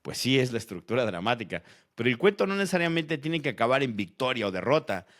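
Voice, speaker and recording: A man playing an opinionated writer who speaks in a measured way in scripted educational audio fiction. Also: medium pitch, big pitch swings, fairly bright tone.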